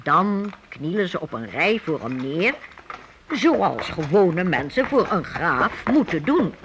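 A male narrator tells a radio drama in a high, very animated voice. The tone is bright and storylike, on a faintly noisy old recording. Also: slow.

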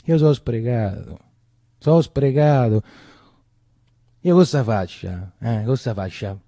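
An actor playing a weary, chatty Roman coffee-shop keeper, rambling and repeating himself in a colloquial theatrical monologue with a Roman accent. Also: male voice, mid-range voice, lively intonation, slow pace, dark tone, no echo, studio quality. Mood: weary, muttering. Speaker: stage actor.

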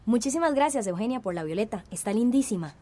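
Higher-voiced, thoughtful young countrywoman. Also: lively intonation, fast, dark tone. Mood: doubtful. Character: thoughtful, questioning grown daughter in rural town. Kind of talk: radio drama acting.

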